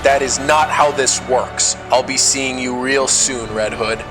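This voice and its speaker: A ruthless government director, a commanding middle-aged woman, as a man plays her. The delivery is curt, authoritative and threatening, in comic-book style over clear music. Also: medium pitch, fairly even intonation, unhurried, close to an everyday voice. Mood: menacing. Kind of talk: motion comic voice acting.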